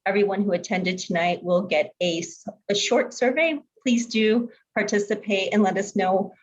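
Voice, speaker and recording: A woman's voice: medium pitch, moderate pace. A woman giving informal closing remarks as an event organizer. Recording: slightly dull lo-fi call.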